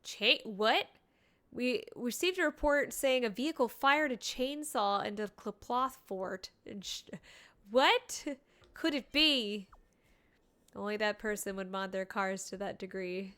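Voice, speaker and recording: A woman's voice: mid-range voice, measured pace, pushed and bright, smooth. A woman giving casual, playful livestream commentary as she plays a game, reacting as she goes. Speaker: game streamer.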